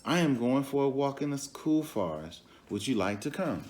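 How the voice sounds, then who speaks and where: A man's voice: medium pitch, very animated, normal speed, darkish tone, natural voice. A man voicing a sly, lazy storybook trickster who coaxes with exaggerated friendliness. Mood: coaxing.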